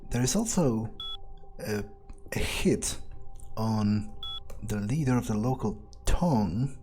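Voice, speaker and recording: A man's voice: lowish voice, expressive, takes it slowly, mellow, slight background noise. A man giving in-character commentary on a video game, thinking aloud in a cocksure, sweary way. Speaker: gamer playing in character. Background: faint game music.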